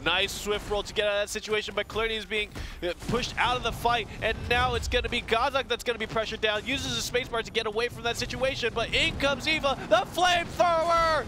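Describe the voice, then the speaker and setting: A man's voice: very high voice, fairly even intonation, brisk, hardly any pauses, background noise. A man co-casting an esports match, playful and laughing, with bursts of hype. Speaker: esports caster. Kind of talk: esports color commentary.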